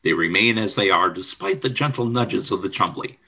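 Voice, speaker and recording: A man's voice: on the low side, expressive, fairly quick, creaky, sharp tone, slightly rough, lo-fi sound. A man reading scripted scene narration for a radio play. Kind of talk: scripted narration of stage directions.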